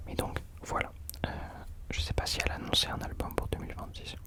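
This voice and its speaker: A man speaks entirely in a soft, breathy whisper, in the style of an ASMR host. His delivery is casual and chatty commentary, with hesitations and self-deprecating corrections along the way. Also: quick pace.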